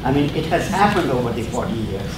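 A man's voice: mid-range voice, big pitch swings, fast. Older man asking a hesitant question, very roomy and distant sound. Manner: earnest, hesitant, restarts questions. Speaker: audience member. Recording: very echoey, distant, with clear noise.

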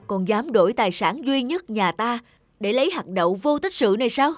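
A woman playing a stern but loving peasant mother in a children's cartoon, dramatic and expressive. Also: a little high, brisk, over music, natural voice. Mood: scolding.

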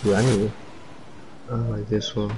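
A young man with a deep voice, chatting casually and laughing with a friend while gaming, relaxed and slangy. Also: normal speed, creaky, background noise. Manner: laid-back, slangy, laughs often. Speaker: gamer and channel owner. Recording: game music playing underneath.

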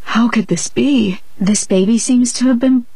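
A woman playing an astonished, flustered character in a game cutscene, halting and incredulous. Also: on the high side, warm tone, slightly rough, no echo. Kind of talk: dramatic game cutscene acting.

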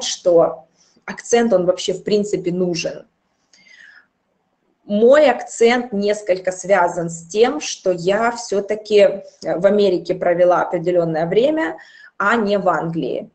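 A woman in her thirties gives a recorded grammar lesson from a small room, picked up by a webcam at her desk. She explains step by step and slows down to repeat example phrases with care. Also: medium pitch, a little mellow. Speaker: English teacher.

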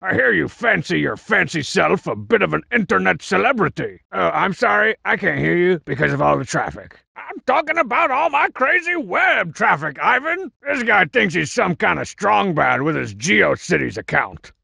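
A swaggering cartoon villain from a video game, juvenile and full of himself. The voice is heavily gravelly and forceful, swooping up and down as it brags and mocks in slangy tough-guy style. It comes across as broad, exaggerated comic acting. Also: high-pitched, sing-song, moderate pace, hardly any pauses, dry recording, full character voice. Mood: mocking, boastful. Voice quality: raspy, gravelly growl. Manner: bragging, mocking, slangy tough-guy delivery. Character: cocky, boastful cartoon wrestler-masked villain, juvenile troublemaker.

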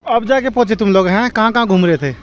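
A man's voice: high voice, expressive, quick pace. A young man in his twenties chatting casually as he walks and films himself on a phone held at arm's length in a busy outdoor market. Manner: chatty, walking while filming himself. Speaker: travel vlogger. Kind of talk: casual vlog chat. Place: busy outdoor town market and monastery grounds. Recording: outdoor phone audio with crowd noise. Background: crowd chatter and street noise.

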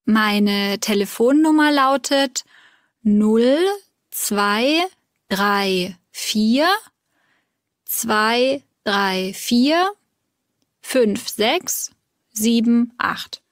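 A woman calling to register for a course, spelling her name slowly and carefully in a scripted learner dialogue. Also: a little high, expressive, no room sound, clean studio sound. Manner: slow, careful spelling and numbers.

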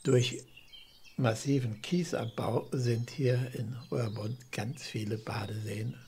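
Gravelly man narrating a camping travel video. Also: mid-range voice, fairly even intonation, slow, mellow, slight background noise. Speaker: camper van travel vlogger. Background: music.

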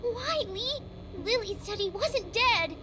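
A woman's voice: very high voice, lively intonation, slow, background noise, exaggerated voice. An actress playing a frightened, innocent little girl in a video game, small, tentative and questioning. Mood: sad, anxious. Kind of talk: dramatic video game voice acting.

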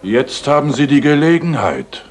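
An actor playing a coarse, boastful and rude ruffian in a radio play, aggressive and challenging. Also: male voice, on the high side, very animated, normal speed, slight background noise. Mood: menacing, angry. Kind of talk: German radio play acting, 1980s.

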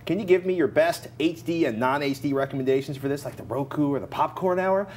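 A man's voice: a little high, fairly quick, a little mellow, a little hiss or hum. An American man in his forties co-hosting a tech show, talking casually in a studio. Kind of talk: casual tech commentary and sponsor reads. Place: indoor TV studio.

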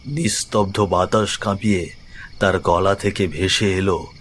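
A man narrating a scripted horror story in a measured, suspenseful way, phrase by phrase. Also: low-pitched, fairly even intonation, a little hiss or hum.

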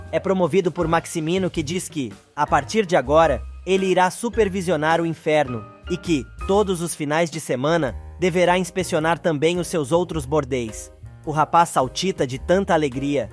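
A man with a Brazilian accent reading a scripted soap opera recap as a narrator. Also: on the high side. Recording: voice-over with music underneath. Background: background music.

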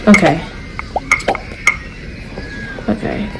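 A woman's voice: very slow, noisy background. A woman from Ohio giving rambling, casual commentary while playing a mobile game, often exasperated with it, recorded on a webcam in a small room. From Ohio, USA. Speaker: gaming channel host. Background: loud game music.

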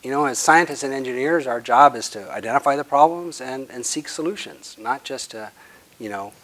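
A man in his sixties, the guest speaker, answering audience questions after a lecture in a conference room, pushing hard on key words and letting phrase ends fade quietly. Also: mid-range voice, brisk. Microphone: lapel.

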